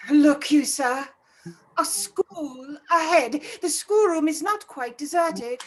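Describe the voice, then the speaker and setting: A woman performs a high-pitched female spirit for a radio play. The ghost speaks very slowly and formally, solemn and commanding, weighing each phrase. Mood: stern, probing. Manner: slow, deliberate, formal delivery.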